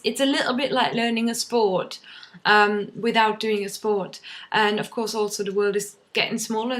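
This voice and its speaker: A young woman, German by first language, chats casually to her camera, rambling and restarting with plenty of ums. Her voice sits at an ordinary middle pitch. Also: a bit bright. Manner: chatty, many ums and restarts. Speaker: vlogger.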